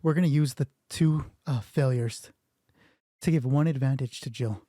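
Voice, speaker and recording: A man narrating a solo tabletop roleplay as game master, improvising and thinking aloud in a halting way while reading out dice. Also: mid-range voice, dark tone, no echo, studio quality.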